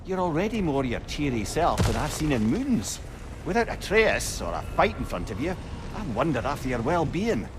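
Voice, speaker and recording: An actor playing a witty, chatty old advisor in a video game, with a Scottish accent and a wry, musing way of talking. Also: male voice, fairly high pitch, sing-song, normal speed, noisy background. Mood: wry, concerned. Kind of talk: dramatic video game acting. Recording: music behind the voice.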